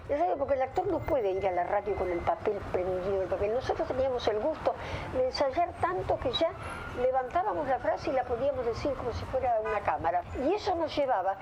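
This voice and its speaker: A woman's voice: high-pitched, animated, fast, mellow, lo-fi sound. An older woman, a veteran actress, reminiscing emphatically and repeating phrases for stress. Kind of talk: reminiscing interview. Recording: noticeable background noise.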